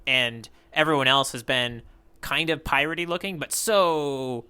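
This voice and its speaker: A man's voice: mid-range voice, a little slow, pushed and bright, smooth. A man co-hosting a podcast, talking in a casual, rambling way with lots of fillers and restarts. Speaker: podcast co-host. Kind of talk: casual chat.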